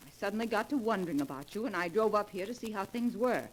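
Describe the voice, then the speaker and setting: An actress playing a cold, stern sister. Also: female voice, medium pitch, expressive, fast, slight background noise, lightly acted, poor audio. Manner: cold, commanding. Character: stern controlling older sister. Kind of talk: 1940s radio acting.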